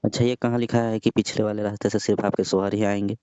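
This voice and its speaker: A man's voice: on the low side, flat delivery, fast, mellow, clean tone, no room sound. Grumbling man on a phone call. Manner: grumbling, argumentative. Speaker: caller. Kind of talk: scripted comedy phone call. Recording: muffled phone call recording.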